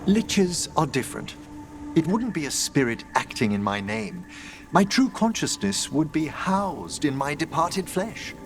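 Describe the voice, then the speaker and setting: An actor playing a refined older necromancer scholar in a video game, gentle and wistful, with formal, eloquent, measured phrasing. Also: male voice, fairly high pitch, sing-song, over music. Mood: wistful, thoughtful. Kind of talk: video game dialogue acting.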